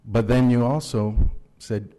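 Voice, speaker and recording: A man on a city council speaking at a public meeting, halting with many fillers, then stern and reproachful. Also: mid-range voice, fairly even intonation, warm tone, long reverb tail, poor audio. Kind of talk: formal public statement at a meeting. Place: council chamber.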